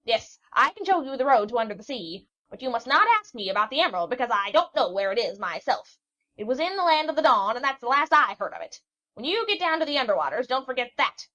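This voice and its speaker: A woman doing a shrill, scolding ancient witch. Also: big pitch swings, fast talker, pushed and bright. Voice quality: shrill, screeching. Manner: scolding, bossy, rambling. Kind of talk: storybook reading. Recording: dull lo-fi recording.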